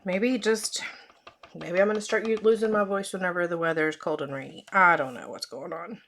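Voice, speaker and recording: A woman with a hoarse, fading voice, chatting casually with frequent fillers as she demonstrates card-making at her crafting desk. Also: lowish voice, fairly even intonation, brisk, fairly bright tone.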